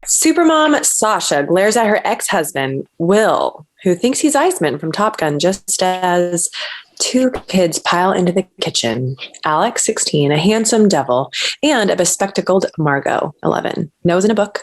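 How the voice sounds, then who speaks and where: A woman narrates stage directions from a script. Her voice sits a little low. The delivery is scripted screenplay narration. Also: expressive, moderate pace.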